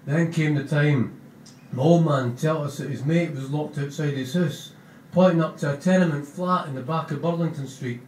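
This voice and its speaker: A man reading aloud as a gentle young male junkie narrator with a Scottish accent, in broad rambling dialect. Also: on the high side, moderate pace, a little hiss or hum, close to an everyday voice. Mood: wry. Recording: roomy, distant mic.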